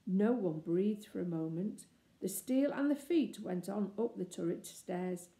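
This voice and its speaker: A woman narrates a children's story in a measured, expressive way. Her tone is dark and mellow at an average pitch, recorded in a noticeably echoey room. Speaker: storybook reader.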